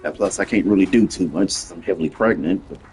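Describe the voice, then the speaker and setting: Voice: deep voice, fast talker, a little mellow, faint music behind. A streamer chatting casually while playing, talking to themself and a friend. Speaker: gaming streamer. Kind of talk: casual gameplay commentary.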